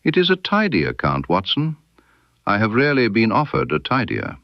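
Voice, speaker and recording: A man voicing a brilliant, coolly ironic Victorian gentleman detective in an audiobook, precise and incisive. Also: on the low side, wildly swooping pitch, moderate pace, no room sound, lo-fi sound, pitched above the performer's own voice. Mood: dry irony.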